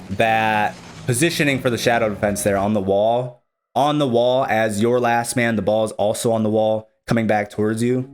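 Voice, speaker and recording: Young chicago dude, lowish voice, chill coaching talk on stream, bit of music behind. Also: male voice. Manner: explanatory, casual, talks straight to the player he is coaching. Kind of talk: live-streamed replay analysis commentary.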